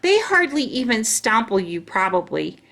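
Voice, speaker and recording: A woman's voice: on the high side, big pitch swings, normal speed, creaky, fairly bright tone, full character voice. A woman voicing a chatty, boastful, stubborn young schoolgirl in a children's storybook, with emphatic childlike wording. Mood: pleading, coaxing. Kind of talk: children's storybook read-aloud.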